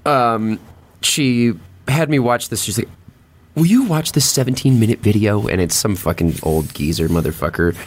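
A man's voice: fairly low pitch, normal speed. A man chatting casually on a podcast in a blunt, slangy, heavily profane way.

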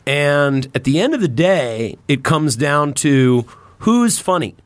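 A man's voice: fairly high pitch, big pitch swings, slow, no echo. A man talking casually on a podcast as a host, opinionated and emphatic in how he makes his points.

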